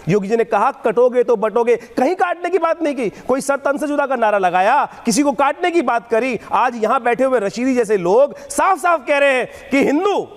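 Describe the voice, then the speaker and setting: A man with an extremely high-pitched voice holds forth as a TV debate panelist. His delivery is impassioned and declamatory, built on rhetorical questions and piled-up lists, with long reverb around it. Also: expressive, moderate pace, hardly any pauses. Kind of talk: impassioned political oratory on TV debate. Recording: echoing hall-like reverb.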